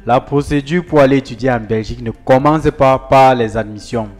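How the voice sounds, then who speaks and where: A man's voice: medium pitch, moderate pace, a little mellow, faint music behind. A man advising students on study procedures, speaking directly to viewers, repeating key points with emphasis. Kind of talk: explanatory talk to camera.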